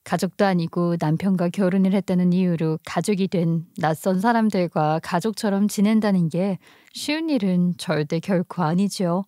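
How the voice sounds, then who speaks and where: A woman's voice: lowish voice, moderate pace, hardly any pauses, dark tone, no room sound, clean studio sound. A woman narrating a scripted first-person story.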